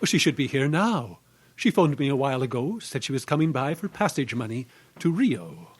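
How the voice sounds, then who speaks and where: A man's voice: medium pitch, very animated, moderate pace, breathy edge. A man playing a weak, genteel middle-aged father in an old-time radio mystery, with a pleading, melodramatic delivery. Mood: regretful.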